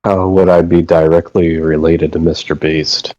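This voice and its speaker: A man from the United States, a guest on a casual phone call, talking in a hesitant, halting way. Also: low-pitched, little pitch movement, darkish tone, no echo.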